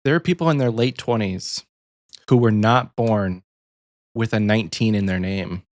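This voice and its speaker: A man in his early thirties co-hosting a podcast, easygoing and quick to laugh. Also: lowish voice, dry recording. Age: 30s. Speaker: podcast co-host. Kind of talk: casual chat.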